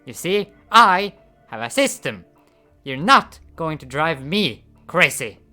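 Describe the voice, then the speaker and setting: A man voicing an intense, paranoid man who insists he has a system, in a loud, insistent, ranting manner for a comic read-aloud. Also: fairly high pitch, big pitch swings, slow, faint music behind, voice pushed up, heavily acted.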